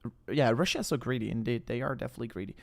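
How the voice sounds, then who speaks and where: A young Dutch-accented man chats casually through a live crypto stream. His voice sits at an everyday middle pitch, and he rambles loosely, trailing off, restarting phrases and filling gaps with "uh" and "I mean." It sounds like relaxed, unscripted talk to a live audience. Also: rapid-fire, gravelly. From Netherlands. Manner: rambling, self-interrupting, hesitant with fillers. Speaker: crypto livestream host.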